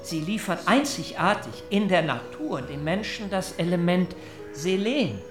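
An actor playing a young, zealous vegetarian visionary, lecturing earnestly and formally in a radio drama. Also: male voice, high voice, very animated, unhurried. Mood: fervent. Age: young adult. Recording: roomy echo, music behind.

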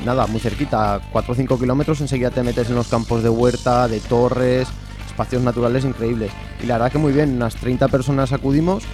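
A man with an accent from Spain speaking spontaneously as an interview guest, with frequent filled pauses. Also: mid-range voice. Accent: Spanish (Spain).

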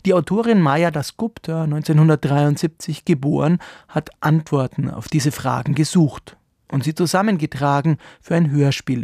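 Male presenter for scripted radio intro, higher-set voice with vocal fry, close dry sound. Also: dark tone, no room sound.

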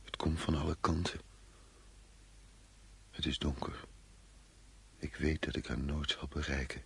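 An actor playing an anxious, irritable man fleeing war in a radio play, with a husky voice. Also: rumbling low, steady tone, measured pace, heavily acted. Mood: brooding, dreamlike. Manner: agitated, commanding, brooding when narrating. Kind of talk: Dutch radio play acting.

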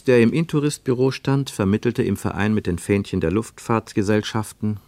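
An actor narrating a literary radio play in a measured, precise, gently ironic manner. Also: male voice, fairly low pitch, dry recording. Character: literary narrator of a Cold War journey, wry, measured.

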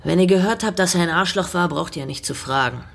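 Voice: medium pitch, fast, creaky, fairly bright tone. An actor playing a tough, foul-mouthed teenage boy in juvenile detention, slangy and defiant, in a radio drama. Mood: defiant, bitter.

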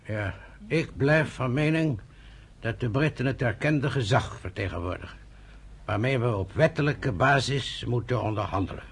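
An actor playing a formal, deliberate, legalistic statesman in a Dutch radio play. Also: mid-range voice, slightly rough. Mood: measured, formal. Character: measured, legalistic senior statesman, a doctor.

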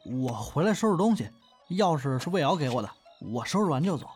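A man playing a candid, easygoing young performer in an audio drama, speaking colloquially and haltingly. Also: on the high side, very animated, darkish tone, soft background music. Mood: awkward, hesitant. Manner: colloquial, halting, hedging speech. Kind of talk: modern Chinese audio drama acting, naturalistic dialogue.

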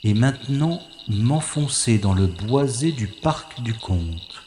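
A man playing a cautious, wry infiltrator who thinks aloud in a deliberate, dramatic monologue from an audio science-fiction adventure. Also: medium pitch, expressive, warm tone, echoey room, slight background noise. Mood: tense, wry. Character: cautious, wry male infiltrator narrating his secret mission.

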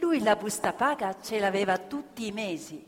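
A woman narrating scripted theatre as an actor, expressive and deliberate in her phrasing. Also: medium pitch. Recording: reverberant hall with live music. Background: faint live music.

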